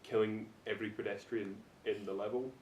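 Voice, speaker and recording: A man's voice: steady tone, a little fast, darkish tone. A man chatting casually about things as a podcast panelist. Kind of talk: casual group discussion of video games. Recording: echoey, distant mic with background rumble.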